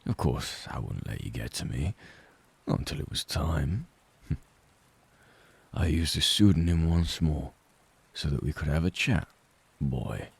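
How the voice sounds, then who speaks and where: A man reading a story, voicing a raspy, menacing middle-aged father who taunts his son in a cold, sneering way. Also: rumbling low, lively intonation, a little slow, heavily acted. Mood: taunting. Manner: taunting, sneering, drops to whispers.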